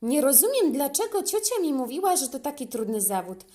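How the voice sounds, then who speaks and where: A woman's voice: high voice, very animated, brisk, mellow, pitched above the performer's own voice. A woman reading a storybook as a naive, self-assured young man who wants to be a teacher. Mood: puzzled, confident.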